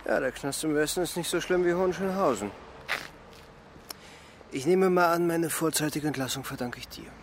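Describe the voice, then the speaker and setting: An actor playing a weary, defiant East German double agent in a German radio crime drama. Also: male voice, fairly high pitch, moderate pace, long pauses, a little hiss or hum, lightly acted. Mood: wary. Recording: produced radio play with music behind.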